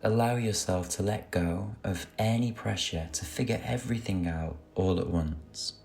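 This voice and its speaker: A man leading a guided meditation with gentle, soothing, unhurried instruction. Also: low voice, vocal fry. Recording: soft music under the voice. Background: music.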